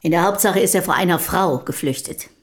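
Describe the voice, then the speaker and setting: An actress in a German historical radio drama playing a composed, dutiful common-born companion of a poet, measured and plain-spoken. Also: female voice, lowish voice, natural voice. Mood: dry, unruffled.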